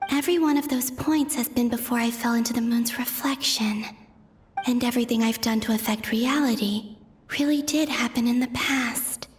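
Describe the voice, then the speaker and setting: A woman playing a gentle, anxious young moon maiden in a video game, musing in a hushed, hesitant way. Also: a little high, a little hiss or hum, lightly acted. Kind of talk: video game dramatic voice acting. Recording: music behind.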